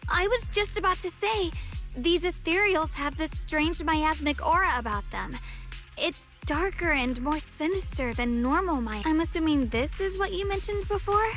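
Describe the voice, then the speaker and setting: A woman playing an earnest, polite young swordswoman with quiet resolve in a video game. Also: sits high, animated, moderate pace, soft background music. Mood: earnest, curious.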